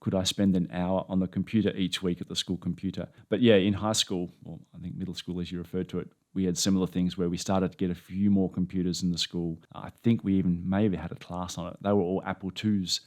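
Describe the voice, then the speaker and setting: A man's voice: sits low, little pitch movement, quick pace, hardly any pauses, a little mellow. A man hosting an interview, chatting casually and rambling through anecdotes. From Australia. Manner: rambling, anecdotal, self-deprecating. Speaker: podcast host.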